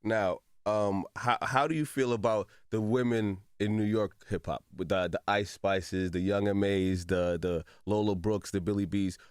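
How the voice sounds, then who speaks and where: A man's voice: fairly low pitch, fairly even intonation, moderate pace, few pauses, a little mellow, clean tone, dry recording, studio quality. A man hosting a casual radio interview, asking questions in an informal, conversational way.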